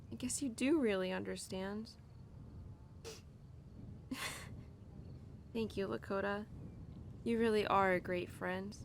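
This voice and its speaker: Woman playing a downhearted young cowgirl, noisy rumbly recording. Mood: touched, affectionate. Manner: halting, casual, sighing, talking softly to an animal. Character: upset young cowgirl, tender and self-deprecating, talking to her horse. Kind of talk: intimate audio roleplay, naturalistic acting. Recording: clear background noise with low rumble.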